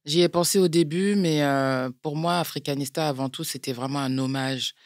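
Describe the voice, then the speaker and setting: Low-voiced French woman, measured. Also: no room sound, studio quality. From France. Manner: measured, explains step by step with frequent hesitations. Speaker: guest, clothing brand founder. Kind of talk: interview conversation.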